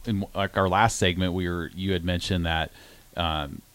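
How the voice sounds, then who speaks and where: Male co-host, 40s, low-pitched, earnest and chatty in a casual interview. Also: fairly even intonation, moderate pace, a bit bright, smooth.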